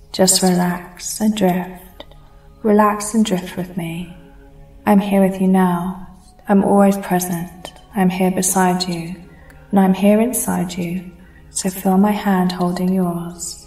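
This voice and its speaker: Soft slow hypnosis lady, low calm voice, bit far off w echo and quiet music behind. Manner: slow, soothing, hushed and intimate. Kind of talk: scripted hypnosis recording. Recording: roomy echo, voice set back from mic, faint music bed.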